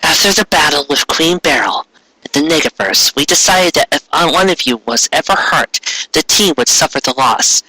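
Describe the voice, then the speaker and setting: A man's voice: on the high side, expressive, moderate pace, pushed and bright, no echo, exaggerated voice, low-quality recording. A man voicing the solemn, formal ghost of a moon queen in an anime fan fiction reading.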